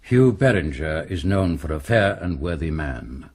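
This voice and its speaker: A very low, husky and expressive male voice: a senior medieval churchman, calm, authoritative and reassuring, in a radio play. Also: measured pace, full character voice. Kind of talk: radio drama acting.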